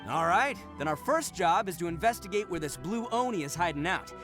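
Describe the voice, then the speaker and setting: An actor playing a brash, big-hearted oni brawler in a video game, talking loudly and laughing often. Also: male voice, sits high, animated, brisk, over music. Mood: easygoing. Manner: loud, laughing, swaggering but earnest.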